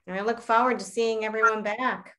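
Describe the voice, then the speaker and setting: A woman's voice: on the high side, steady tone, brisk, a bit bright. A woman school staff member speaking in an online parent session, friendly and helpful.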